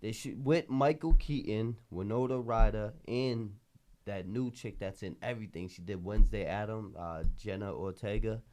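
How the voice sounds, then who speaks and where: A man's voice: fairly low pitch, moderate pace, a little mellow. A man with a Boston accent, a podcast host talking casually in street slang with an emphatic, rambling flow. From Boston area, Massachusetts.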